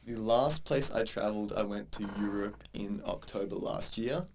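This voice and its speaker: A low-voiced Australian man in his twenties with a lot of vocal fry in his voice. He speaks in a friendly, measured way, trading questions on a casual podcast. His tone stays calm and conversational. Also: a little hiss or hum. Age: 20s. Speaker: podcast co-host, university tutor. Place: university room.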